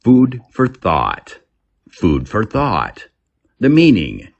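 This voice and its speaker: A man reading scripted English lessons as a teacher, deliberately, pausing between short phrases. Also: on the low side, huge pitch swings, vocal fry, muffled recording.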